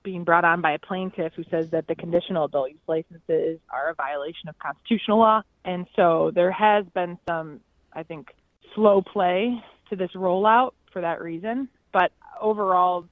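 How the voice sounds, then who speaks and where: A woman's voice: on the low side, normal speed, no room sound. A woman giving explanatory answers as an attorney being interviewed. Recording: muffled lo-fi interview clip.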